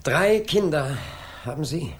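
Husky police inspector, radio drama, suspicious, sardonic, needling, widely swinging intonation. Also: male voice, a little high, animated, unhurried. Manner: insistent, needling interrogation. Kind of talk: 1980s German radio drama acting.

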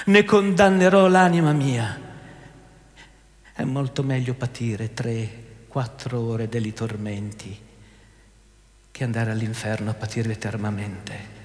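A man playing a devout, resigned young prisoner facing torture in a radio play, pleading solemnly in a hushed voice. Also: mid-range voice, roomy sound, in a large hall, pitched below the performer's own voice, close to an everyday voice.

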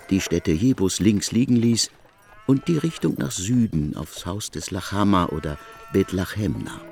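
A man narrating a literary work for radio in a measured, formal storytelling manner. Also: sits low, a little mellow. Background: faint music.

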